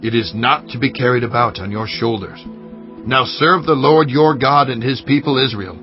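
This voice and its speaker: A man's voice: mid-range voice, normal speed, natural voice. An actor playing an earnest, commanding ancient king in a dramatized scripture audio, with grave, declamatory delivery. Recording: slightly dull audio over faint music.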